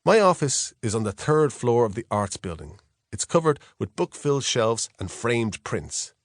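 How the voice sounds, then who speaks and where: A man reads a first-person novel in a measured, reflective storytelling manner. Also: mid-range voice, sing-song, no room sound, clean studio sound. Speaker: audiobook narrator. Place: close, dry studio.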